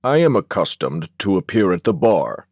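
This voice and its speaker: An actor playing a proud, self-important corkscrew lawyer in a drawling voice for an audiobook. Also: male voice, low voice, very animated, moderate pace, a little mellow, no echo, studio quality, full character voice. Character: proud crooked corkscrew lawyer, living utensil.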